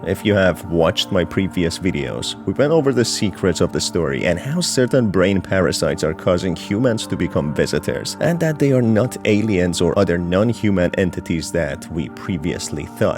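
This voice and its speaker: Male narrator, low register, measured explanatory storytelling for a game lore video, faint music underneath. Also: big pitch swings. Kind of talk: scripted narration. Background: background music.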